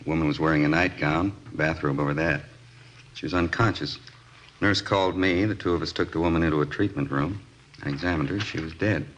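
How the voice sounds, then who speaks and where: Brisk, low-voiced American radio doctor. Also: male voice, fairly bright tone, slight background noise. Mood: matter-of-fact. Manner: clipped, matter-of-fact. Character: brisk, matter-of-fact male hospital doctor. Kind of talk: 1950s radio acting. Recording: dull old radio recording.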